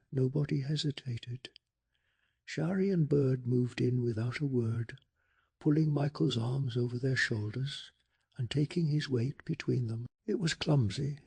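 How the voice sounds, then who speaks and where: A man softly narrating a bedtime story in an ASMR style, with a calm, hushed, breathy delivery and some gravel in the voice. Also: medium pitch. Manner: slow, calming, hushed delivery. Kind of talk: soft-spoken ASMR storytelling.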